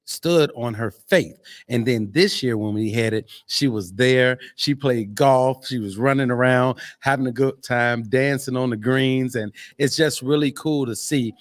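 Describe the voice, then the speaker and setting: A man's voice: mid-range voice, no room sound. An American man chatting casually on a live show as a host, earnest and encouraging in how he talks. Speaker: podcast host and actor.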